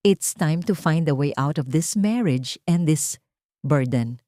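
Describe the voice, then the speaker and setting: A woman's voice: low voice, animated, unhurried, dark tone, no room sound, clean studio sound. A woman with a Filipino accent voicing a heartless, vain rich wife in an audio story, cold, dismissive and mixing in English. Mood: cold contempt. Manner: cold, mixes English into her speech.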